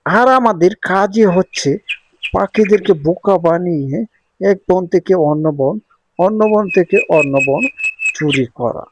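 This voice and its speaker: A voice actor playing a scheming male crow thief who talks in a low, conspiratorial way. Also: unhurried, highs cut off. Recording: noisy recording.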